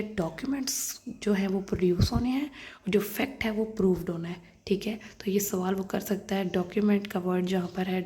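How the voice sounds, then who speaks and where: A woman's voice: medium pitch, mellow. A woman lecturer methodically reading legal text aloud and explaining it, repeating and rephrasing points for students. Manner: alternates English legal clauses with simple Hindi-Urdu explanation. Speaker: law lecturer. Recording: noticeable background noise.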